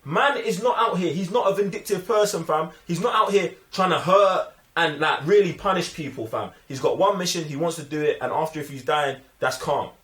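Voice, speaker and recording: A man's voice: a little high, fairly quick, hardly any pauses, fairly bright tone. A young man with a London accent giving casual, emphatic film opinions full of slang. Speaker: film review show host. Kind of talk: casual movie review chat. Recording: echoey room.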